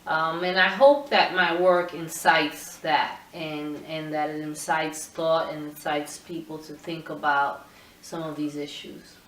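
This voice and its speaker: A middle-aged woman from Puerto Rico, a photographer answering interview questions in a thoughtful, halting way with many restarts. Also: low-pitched, level tone, a bit bright, a little hiss or hum. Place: indoor room with her camera collection. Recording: roomy sound, mic at a distance.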